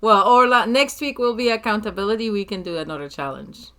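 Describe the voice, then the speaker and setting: A woman's voice: medium pitch, brisk, pushed and bright. A woman co-hosting a casual group chat, teasing and wry.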